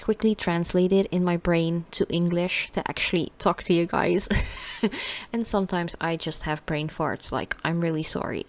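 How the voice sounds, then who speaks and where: A young Dutch woman with a Dutch accent, chatting casually and rambling through a beauty unboxing vlog, with lots of fillers. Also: on the low side. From Netherlands. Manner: chatty, many fillers, soft asides. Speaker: beauty vlogger.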